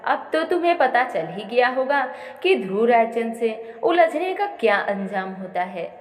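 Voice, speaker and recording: A man's voice: extremely high pitch, big pitch swings, a little slow, long reverb tail, exaggerated voice. A woman voicing a cold, angry, domineering young businessman in an audio story. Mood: cold anger. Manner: threatening, domineering.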